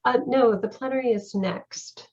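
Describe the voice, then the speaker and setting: Husky woman on a dull online call. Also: a little high, a little slow, darkish tone. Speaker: online session host. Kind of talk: casual chat.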